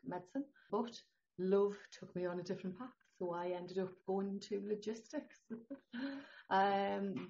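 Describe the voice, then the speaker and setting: A middle-aged to older woman with a northeast English accent, a holistic healthcare guest talking in a chatty, animated way and laughing often. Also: fairly low pitch. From northeast England. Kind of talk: conversational interview. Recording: dull lo-fi sound.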